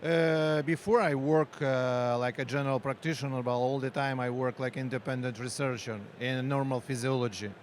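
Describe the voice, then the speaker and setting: Ukrainian guy explaining his startup, slow halting english, lots of uhs, faint traffic behind. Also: medium pitch, fairly even intonation. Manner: halting non-native English, frequent pauses and fillers. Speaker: company founder and researcher. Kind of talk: conference interview. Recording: faint street and vehicle noise.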